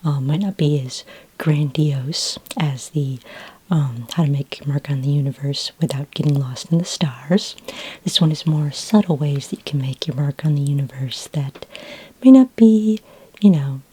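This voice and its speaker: A woman speaking in a soft, breathy whisper as a friendly, patient librarian in a gentle ASMR roleplay. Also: low voice. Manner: gentle, unhurried, helpful customer-service patter. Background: computer keyboard typing.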